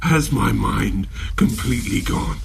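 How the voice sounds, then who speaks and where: An actor playing a tormented, despairing man in a horror audio drama, halting and anguished, with a breathy, gravelly voice that often whispers. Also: on the low side, animated, slight background noise, exaggerated voice. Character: tormented, despairing man losing his mind.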